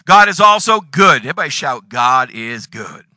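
A man in his forties preaching a sermon, reading scripture and breaking into sudden loud bursts. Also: mid-range voice, sing-song, normal speed, no echo, clean studio sound. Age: 40s. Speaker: pastor.

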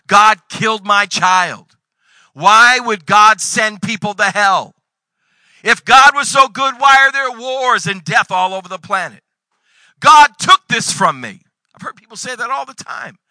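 A man in his forties preaching a sermon, reading scripture and breaking into sudden loud bursts. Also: high voice, unhurried, no room sound, poor audio. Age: 40s. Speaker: pastor.

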